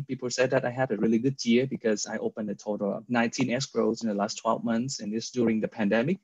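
A man's voice: medium pitch, slightly rough, dry recording. Earnest Vietnamese-accented man on video call. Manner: earnest, frequent fillers and restarts. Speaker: guest. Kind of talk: personal story in casual interview. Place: indoor room with virtual background. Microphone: video call webcam. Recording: dull lo-fi video call audio.

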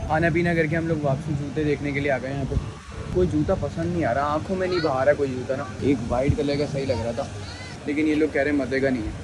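A man's voice: on the high side, fairly even intonation, fast, noisy background. A young man from Pakistan chatting and bargaining as he films a casual shopping vlog on a handheld camera in a shoe market. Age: young adult. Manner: chatty, laughing, bargaining with sellers. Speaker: vlogger. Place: crowded shoe market, indoors and street. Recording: busy market crowd behind.

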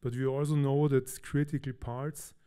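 Man from austria, warm mellow voice, conference speaker, relaxed and hesitant, stage mic in a big hall. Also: mid-range voice, moderate pace, clean tone. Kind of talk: technical conference talk.

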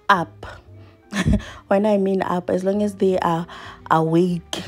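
A woman's voice: lowish voice, warm tone. A woman from South Africa in her thirties, chatting earnestly to her viewers while washing up in her home kitchen. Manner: earnest, reflective, speaks while washing dishes. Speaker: vlogger. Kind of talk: casual chat to viewers while doing chores.

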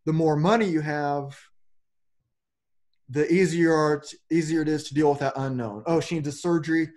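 A man's voice: fairly high pitch, fairly even intonation, normal speed, darkish tone. A man in his forties talking loosely and rambling a little, with casual swearing, as a podcast host on a video call from home. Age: 40s. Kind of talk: casual philosophical conversation. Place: home room with a plain backdrop. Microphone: webcam on a video call.

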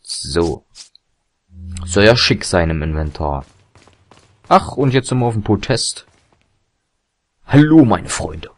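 A young man commentating a video game, chatty and rambling with fillers and self-corrections, his voice a little hoarse and tired. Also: lowish voice, sing-song, slow pace, long pauses. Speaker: let's play commentator. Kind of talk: casual gameplay commentary.

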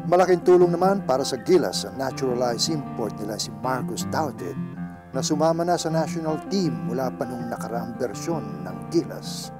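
A man narrating a sports documentary in a measured, earnest scripted read. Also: mid-range voice, expressive, over loud music.

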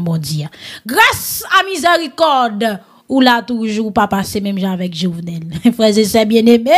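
A woman with a Haitian accent presents conversational news commentary online. Her voice sits high and swings widely in pitch, while the pace stays slow. She talks to listeners familiarly, in an animated, bantering manner.

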